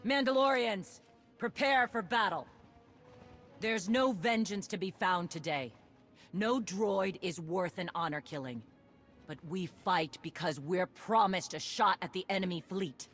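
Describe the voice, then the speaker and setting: A woman's voice: mid-range voice, unhurried, a bit bright, soft background music, close to an everyday voice. Tough warrior commander, played by a woman; blunt, dry, rallies troops. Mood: rallying.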